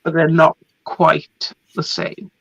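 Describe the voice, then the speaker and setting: A woman, hoarse from a coming cold, chats casually through a run of products. Her voice is gravelly and fry-filled. The sound is dry and a little dull, like a lo-fi recording. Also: low-pitched, slow, no room sound. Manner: chatty, relaxed, laughs often. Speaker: craft product presenter. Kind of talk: casual product show-and-tell.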